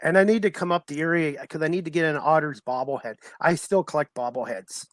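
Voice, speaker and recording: A male host of a sports podcast with a slightly high voice and a dry, echo-free sound. He chats in a casual, informal interview style, often circling back over his words. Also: fairly quick. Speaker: sports talk show host.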